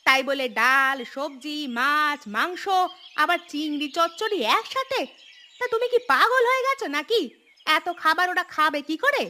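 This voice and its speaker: Woman as a high-voiced nagging village wife. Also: moderate pace, pushed and bright, slight background noise. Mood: exasperated. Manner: scolding, exclamatory. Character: nagging, thrifty, soft-hearted village wife and mother. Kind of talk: cartoon voice acting.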